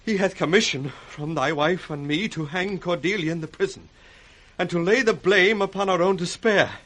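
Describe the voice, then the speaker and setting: An actor playing a dying villainous British nobleman, proud and repentant, husky and strained, in radio Shakespeare. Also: sits high, normal speed, fairly bright tone. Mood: urgent remorse.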